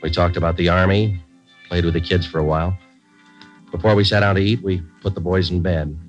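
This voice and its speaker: Stoic American police sergeant, played by a male actor, very low voice, clipped and deadpan narration, muffled vintage radio sound. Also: brisk, faint music behind, lightly acted. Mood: matter-of-fact. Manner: deadpan, terse, matter-of-fact. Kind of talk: 1940s radio crime drama acting and narration. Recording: muffled old 1940s radio recording.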